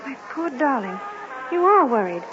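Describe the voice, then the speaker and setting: Affectionate, anxious young woman, 1940s-style radio drama, animated intonation, dull vintage sound. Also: high-pitched, moderate pace, background noise. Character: affectionate, anxious young sweetheart, elegant and concerned. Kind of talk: old-time radio drama acting. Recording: muffled vintage radio recording, music behind.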